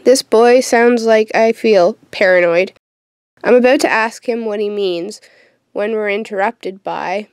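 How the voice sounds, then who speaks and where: A young woman playing a sardonic, troubled teenage witch in an audio drama, with a wry, deadpan manner. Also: medium pitch, a little slow, smooth, dry recording, studio quality, lightly acted. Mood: curious. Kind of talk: audio drama acting with first-person narration.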